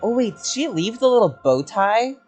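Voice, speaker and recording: Streamer reacting to a game, excitable and swears a lot, medium pitch, faint music. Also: moderate pace. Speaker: gaming streamer. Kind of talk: casual playthrough reaction commentary.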